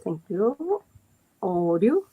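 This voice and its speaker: Swedish woman guest on a live stream, slow and halting, many fillers and restarts. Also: medium pitch, big pitch swings, slightly rough, phone-quality sound. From Sweden. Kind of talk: casual chat on a livestream. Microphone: headset.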